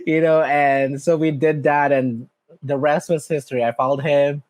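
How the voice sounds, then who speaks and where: A bubbly male podcast host with a fairly high voice that leaps even higher when he laughs. He talks in a chatty, self-correcting way, and the sound is close and dry. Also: a little slow, no echo. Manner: bubbly, laughs often, swings up high in pitch. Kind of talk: casual interview chat.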